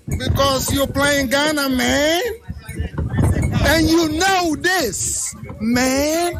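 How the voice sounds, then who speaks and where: A man's voice: extremely high pitch, very slow, background noise. A man being interviewed on the street as a football fan, talking in a boastful, trash-talking way and drawing out his words. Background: music.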